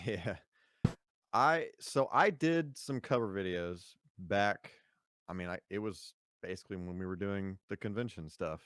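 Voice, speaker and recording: A man with a low voice, a podcast guest talking casually, rambling with fillers and easy laughs. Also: a little slow, a bit bright, no room sound, clean studio sound.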